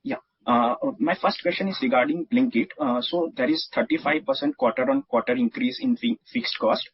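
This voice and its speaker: Gravelly, animated Indian male analyst. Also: on the high side, normal speed, dry recording. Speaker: analyst asking a question on a call. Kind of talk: formal prepared question. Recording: dull, lo-fi phone line.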